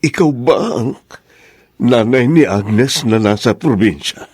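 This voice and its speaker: A man acting in a radio drama as a remorseful older father, speaking in a halting, emotional way. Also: mid-range voice, animated, darkish tone, slightly rough, dry recording, clean studio sound. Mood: stunned. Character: remorseful older father haunted by an old killing.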